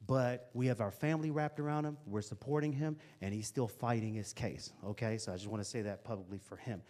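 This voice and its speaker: A man's voice: mid-range voice, fairly quick. A man in his forties giving a casual, encouraging talk to young people in a school hall, speaking into a handheld microphone. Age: 40s. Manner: lively, casual and encouraging, chatty asides. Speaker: motivational speaker. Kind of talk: live talk to a youth audience.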